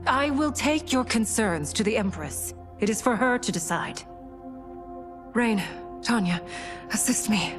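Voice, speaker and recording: Fair-minded young princess for a fantasy game: higher pitch, regal, firm, over music. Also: female voice, expressive, measured pace, long pauses. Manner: formal, regal, resolute. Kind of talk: dramatic video game acting. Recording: dramatic music behind.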